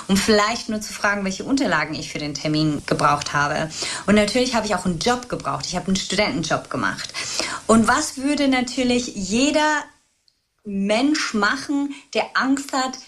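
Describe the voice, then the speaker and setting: A woman's voice: mid-range voice, fairly bright tone. A woman from Spain, a trauma and mindset coach, telling her story as a guest in an earnest, reflective way. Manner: earnest, reflective, speaks in long flowing stretches. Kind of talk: podcast interview, personal storytelling.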